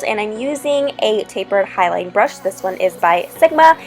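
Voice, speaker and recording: A young woman in her twenties narrating a makeup tutorial in a relaxed, chatty way, slightly sniffly from a cold. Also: medium pitch. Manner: relaxed, chatty step-by-step explaining. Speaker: beauty vlogger. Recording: voice-over with loud background music. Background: music.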